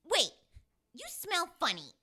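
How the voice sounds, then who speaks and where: A pushy female client in an audio drama. Her voice is extremely high and piercingly bright, swooping up and down as she makes her demands slowly and theatrically. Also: wildly swooping pitch, full character voice. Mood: shrill demanding.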